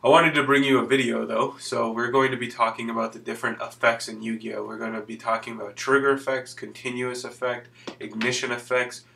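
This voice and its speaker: A young man, hoarse from being sick, casually explaining game rules in a loose, rambling way. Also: medium pitch, pushed and bright, far from the mic. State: hoarse from illness. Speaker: channel host explaining card game rules.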